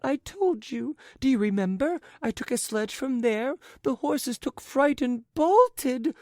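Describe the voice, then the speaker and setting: A woman's voice: sits high, expressive, a little slow, darkish tone, no echo, studio quality. Twittering society lady, voiced by a woman. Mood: fluttery. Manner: breathless, fluttery chatter. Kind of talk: audiobook character voice.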